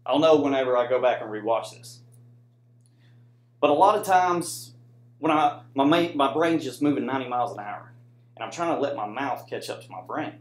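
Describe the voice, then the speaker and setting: American guy doing a casual class presentation, chatty with the audience, average pitch, pretty echoey room. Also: animated, normal speed. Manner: conversational, addresses audience with frequent "right?" tags. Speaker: student presenter, soldier. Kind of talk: informal classroom presentation with slides.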